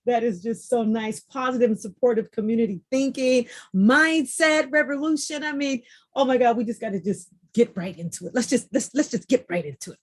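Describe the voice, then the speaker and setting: A woman's voice: fairly high pitch, brisk. A woman hosting a podcast interview, effusive and enthusiastic, often repeating her phrases. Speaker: podcast host.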